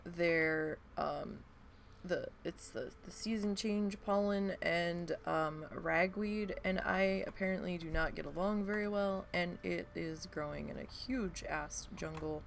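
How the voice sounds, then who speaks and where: A woman's voice: fairly low pitch, unhurried, vocal fry, a little hiss or hum. A woman streaming a game and chatting casually to viewers, her voice stuffy and nasal from allergies, rambling with many ums. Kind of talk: casual live-stream chat.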